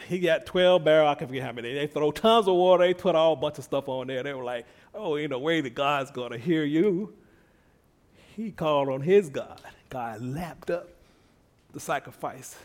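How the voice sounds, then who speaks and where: A middle-aged male preacher with a slightly higher voice, talking to his congregation in a folksy, conversational way. His voice is soft when he is relaxed and climbs into excited shouts of praise. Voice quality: soft-spoken at rest. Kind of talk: conversational sermon.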